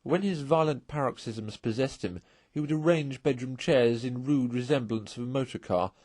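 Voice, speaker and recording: A man reads a storybook aloud as its narrator. His voice sits at a medium pitch and is recorded close, with very little room sound. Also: moderate pace, darkish tone, no echo. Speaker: audiobook narrator.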